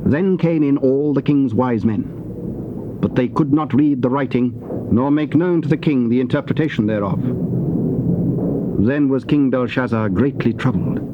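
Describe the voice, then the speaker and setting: Male Bible-drama narrator, medium pitch, grave formal storytelling, dull and very noisy old cassette sound. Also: normal speed.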